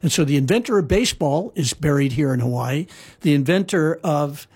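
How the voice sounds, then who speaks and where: A man's voice: fairly high pitch, expressive, fairly quick, darkish tone, husky. A man telling historical stories in a casual conversation, rambling with frequent fillers and restarts. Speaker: guest storyteller. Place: lives in Hawaii.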